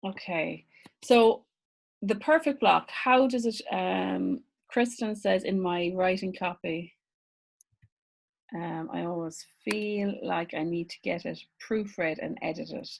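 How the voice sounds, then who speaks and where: A woman's voice: fairly low pitch, slow pace, creaky. A woman with an Irish accent leading a live online business masterclass, chatty, laughing often and reading out viewer comments.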